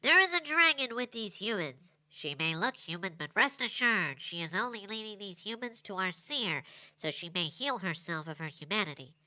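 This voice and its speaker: A woman's voice: medium pitch, lively intonation, moderate pace, few pauses, pushed and bright, no echo, low-quality recording. A woman voicing a small male goblin watchman in an audio roleplay, proud, fretful and given to formal proclamations. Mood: earnest, formal.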